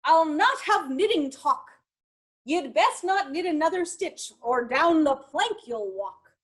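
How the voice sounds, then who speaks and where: A woman's voice: sits high, animated, takes it slowly, voice pushed up. A woman voicing a bossy, furious pirate captain in a storybook, barking stern orders in pirate slang. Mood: threatening.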